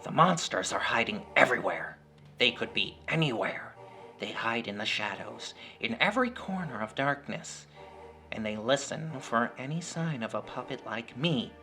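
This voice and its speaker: A man acts out a living puppet from a horror tale, secretive and persuasive. The voice swings expressively in pitch, insisting ominously that it is a protector, with music clearly playing behind it. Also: animated, normal speed, pushed and bright. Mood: hushed warning. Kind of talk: horror storytelling character voice.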